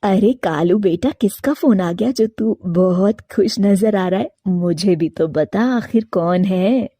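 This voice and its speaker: A woman plays an older mother pigeon in an animated moral tale. She is doting and meddling, drawing out her words slowly. The voice is soft-edged and very dry. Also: mid-range voice, no echo, voice pushed down. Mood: fond, curious. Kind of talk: Hindi animated moral story character.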